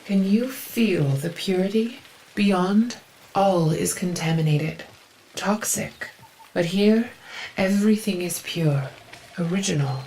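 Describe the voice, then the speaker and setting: An actress playing a reverent, solemn woman devoted to a sacred forest, speaking in a measured, devout way in a video game. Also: lowish voice, a little mellow, slight background noise, low-quality recording. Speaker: quest-giver. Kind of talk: dramatic video game voice acting.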